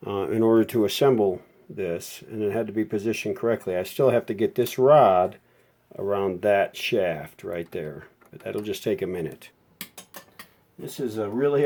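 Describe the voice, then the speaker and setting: A man with a fairly low, very mellow and relaxed voice talks through a hands-on repair. He is unscripted and folksy, working things out aloud as he goes. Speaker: hobbyist repairer presenting a how-to video.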